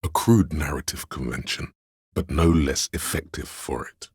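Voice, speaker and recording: A man voicing a snobbish inner voice of artistic taste in a video game, gravelly and husky, with a pompous, deliberate, theatrical delivery. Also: very deep voice, darkish tone, no room sound, heavily acted. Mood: sardonic, disdainful. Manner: pompous, deliberate, disdainful, florid. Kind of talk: scripted game narration, theatrical.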